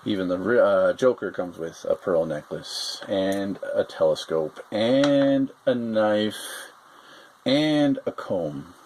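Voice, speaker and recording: A man's voice: on the low side, expressive, slow, darkish tone, a little hiss or hum. A man hosting a casual toy review, talking in a rambling, hesitant way with lots of fillers.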